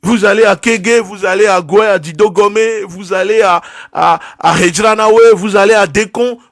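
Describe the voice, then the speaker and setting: A man's voice: high-pitched, moderate pace, dry recording, studio quality. A man from Togo, delivering an impassioned political monologue and stumbling over repeated words as he searches for them. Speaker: activist.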